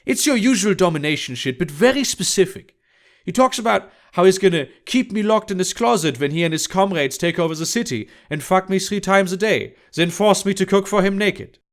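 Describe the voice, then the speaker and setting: Man voicing a brash, scornful woman, raised pitch. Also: lively intonation, brisk. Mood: blunt, matter-of-fact. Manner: blunt, dismissive. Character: brash, irritated adult woman sex worker, blunt and scornful. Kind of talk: audiobook character dialogue.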